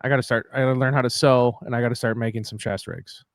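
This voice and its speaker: A man's voice: mid-range voice, steady tone, fast talker. A male podcast host rambles and cracks jokes at his own expense in a loose, casual way. His voice sits mid-range and sounds dry, with little room echo. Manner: rambling, joking, self-deprecating.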